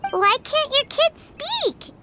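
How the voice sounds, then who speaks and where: A woman voicing a tiny, excitable, childlike fairy companion in a video game, with a squeaky voice. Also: slow pace, heavy background noise, heavily acted. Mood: curious, cheerful. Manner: refers to self in third person, exclaiming.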